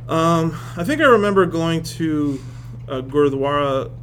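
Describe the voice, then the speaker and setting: Halting joking man in a classroom. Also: fairly high pitch, lively intonation, fairly bright tone, slight background noise. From Boston area, United States. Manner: joking, self-deprecating, halting restarts. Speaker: professor being interviewed. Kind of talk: casual interview in a classroom. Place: classroom with students.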